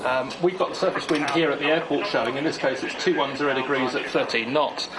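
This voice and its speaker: A man's voice: fairly high pitch, steady tone, fast. A man working as an air traffic control manager, explaining procedures in formal phrasing as in an interview.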